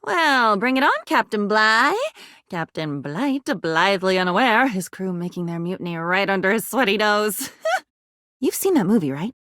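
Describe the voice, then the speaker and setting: A woman's voice: mid-range voice, animated, moderate pace, a bit bright, no echo, clean studio sound, natural voice. A woman voicing a video game character, an unstable living diary, lonely and frantic, with a theatrical, erratic delivery. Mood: bewildered, manic. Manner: theatrical, erratic, swinging between whispers and cries.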